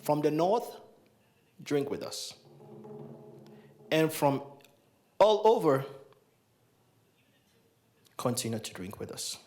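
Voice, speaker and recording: Brief: young man from Ghana, solemn ceremonial libation leader, slightly higher voice, handheld mic. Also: sing-song, takes it slowly, long pauses, a little mellow, slight background noise. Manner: solemn, repetitive invocations. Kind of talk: ceremonial address. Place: large indoor hall.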